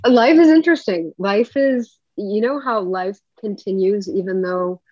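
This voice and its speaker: A woman's voice: medium pitch, measured pace, a little mellow, no echo. A woman from Puerto Rico, an actress guest, chatting and telling long rambling stories over a video call. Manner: talkative, rambling storyteller. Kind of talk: casual interview conversation. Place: home room. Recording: dry, slightly dull lo-fi sound.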